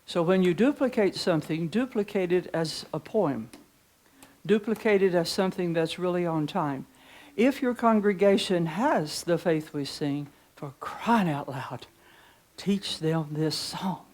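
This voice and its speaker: An older woman with a deep voice leading a chatty preaching workshop in a conference room, reading hymn lyrics slowly. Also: expressive, a little mellow. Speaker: pastor teaching preachers. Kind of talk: informal preaching workshop talk. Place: conference room with seated audience.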